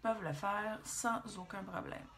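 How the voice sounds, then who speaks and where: Québécois woman, 40s, bright tone, chatty rambling makeup tutorial host. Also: medium pitch, a little slow. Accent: Quebec French. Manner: chatty, rambling, thinks aloud.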